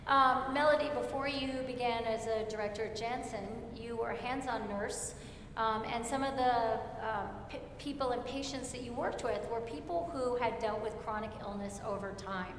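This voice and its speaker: Woman, fifties, panel moderator, echoey room, faint rumbling noise. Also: normal speed, fairly bright tone. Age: 50s. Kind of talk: hosting a community panel conversation.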